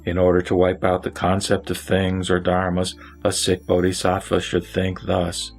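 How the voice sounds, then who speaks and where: Low-pitched man, solemn scripture narrator. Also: monotone, normal speed, soft background music. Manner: solemn, formal.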